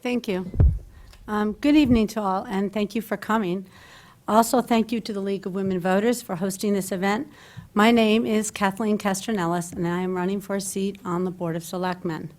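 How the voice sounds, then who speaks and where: This middle-aged woman has a voice of ordinary pitch. She reads a prepared statement in an earnest, formal way. She is speaking at a table microphone during a public forum. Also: steady tone, fairly quick, darkish tone. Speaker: candidate at a town forum. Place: public candidates forum in a meeting hall.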